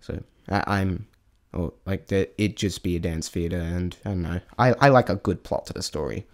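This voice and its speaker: Casting: male amateur reviewer, lowish voice, stammering, unscripted, loses his thread and starts again. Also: normal speed, darkish tone. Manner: hesitant, stammering, often breaking off mid-thought. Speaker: amateur reviewer sharing opinions. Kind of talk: unscripted, rambling spoken review.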